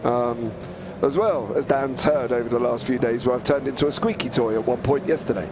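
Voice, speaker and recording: A man, a sim racing commentator, hoarse and croaky from a recent flu, chatting and calling live race action with excited play-by-play. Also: medium pitch, brisk, noisy background.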